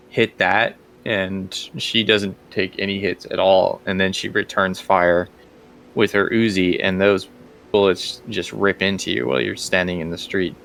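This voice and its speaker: A man's voice: low-pitched, gravelly, a bit bright. A man working as game master, narrating casually and improvising as he goes, often thinking aloud.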